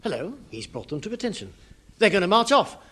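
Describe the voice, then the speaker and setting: An actor playing an anxious, fussy British civil service deputy who talks fretfully and hesitantly in a radio comedy. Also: male voice, high-pitched, big pitch swings, fairly quick, bright, forceful tone, heavily acted. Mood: narrating anxiously.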